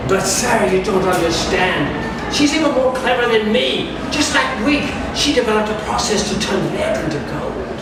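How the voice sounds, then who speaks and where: A man voicing an anxious working-class machinist father who pleads haltingly and deferentially in a story reading. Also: high voice, animated. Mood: anxious pleading. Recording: echoey distant recording, loud event noise.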